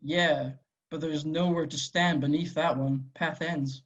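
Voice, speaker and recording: A man's voice: fairly high pitch, steady tone, unhurried, a bit bright. A man playing a weary, scowling hitman in his forties at an amateur table read, terse, wary and testy. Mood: puzzled, subdued. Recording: dull lo-fi voice recording.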